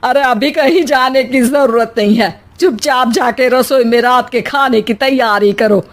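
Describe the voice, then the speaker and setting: Strict storybook mother-in-law acted by a woman, high voice, slow delivery, scolding and bossy. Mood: stern, scolding. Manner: bossy, disapproving.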